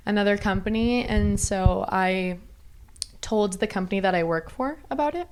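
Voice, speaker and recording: A young woman co-hosts a podcast in a bubbly, chatty way, often turning to the listeners. Her voice sits in a middle pitch. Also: moderate pace, a little hiss or hum. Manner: bubbly, chatty, says "you guys" a lot. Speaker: podcast co-host. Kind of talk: casual chat.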